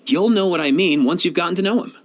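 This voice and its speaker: An actor playing a kindly, laid-back young veterinarian in a video game, talking in casual slang. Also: male voice, high-pitched, quick pace. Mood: amused, easygoing. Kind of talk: game voice lines, conversational.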